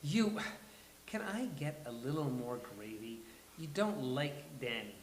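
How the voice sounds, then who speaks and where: A man's voice: medium pitch, big pitch swings, a little slow. A man playing a flamboyant, petulant, self-absorbed best friend in a live sitcom table read, with theatrical emphatic bursts. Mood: teasing, dismissive. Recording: roomy live venue with faint hiss.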